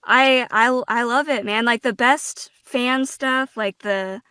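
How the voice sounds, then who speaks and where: A woman giving a casual, reflective interview answer, earnest and halting, with informal turns of phrase. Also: on the high side, sharp tone, clean tone, dry recording. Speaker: guest voice actor and streamer.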